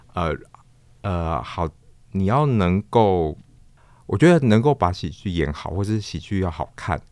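Deep-voiced Taiwanese man, thoughtful. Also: a little mellow, no room sound. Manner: thoughtful, searching for words, repeats phrases. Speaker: guest, stage actor and storyteller. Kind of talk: reflective interview talk.

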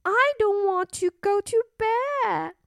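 A woman's voice: very high-pitched, takes it slowly, creaky, a bit bright, no room sound, studio quality, pitched above the performer's own voice, full character voice. A woman voicing a stubborn little storybook princess with a whiny, protesting manner.

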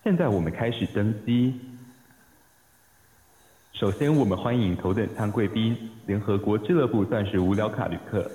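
A man's voice: on the low side, moderate pace, pauses often, warm tone, lightly acted. A man playing a formal, courteous airline announcer in a radio drama, with dull, echoey sound.

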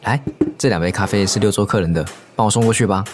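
A man's voice: on the low side, fast, noisy background, natural voice. An actor playing a playful, teasing, easily flustered young male coworker in a Mandarin audio romance drama. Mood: friendly.

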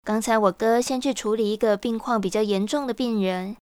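A woman acting a friendly, brisk young sister-in-law who serves as caregiver, in an audio drama. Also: a little high, a little mellow, clean tone, dry recording, lightly acted. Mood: cheerful, breezy.

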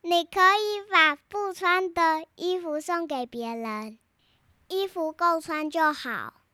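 A woman's voice: very high-pitched, takes it slowly, sharp tone, dry recording, clean studio sound, exaggerated voice. A young girl playing an earnest, outspoken storybook heroine, reciting her lines carefully. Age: child.

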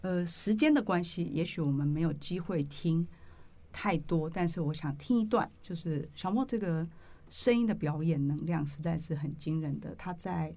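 A woman hosting an interview in a measured, earnest, reflective way. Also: lowish voice, mellow. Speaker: interview host.

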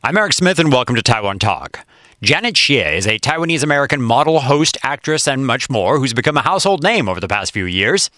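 A man's voice: mid-range voice, big pitch swings, a little fast, sharp tone. A man hosting a radio interview, asking questions in a playful, teasing way. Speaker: radio host.

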